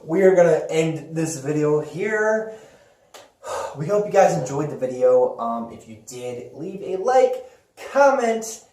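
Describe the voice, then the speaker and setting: A man's voice: on the high side, lively intonation, measured pace, warm tone. A man hosting a podcast in casual chat, often rephrasing as he addresses viewers. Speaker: podcast host. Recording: roomy, distant mic with clicks and noise.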